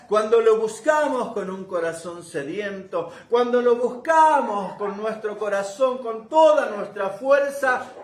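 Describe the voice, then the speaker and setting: A man preaching a sermon, speaking emphatically and repeating phrases to drive points home. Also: high voice. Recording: roomy, echoing room sound.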